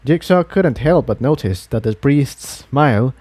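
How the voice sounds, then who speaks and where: A man narrates an adventure story from a script. His voice sits at an ordinary middle pitch. The recording is dry and close, with almost no room to it. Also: animated, unhurried, a little mellow, dry recording. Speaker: fan fiction narrator.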